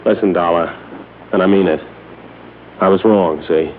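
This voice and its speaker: A man's voice: low voice, normal speed, lightly acted. An actor playing a shifty, nervous male crook who stammers and pleads in slangy speech in an old radio crime drama. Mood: pleading. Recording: muffled, slightly noisy old radio recording.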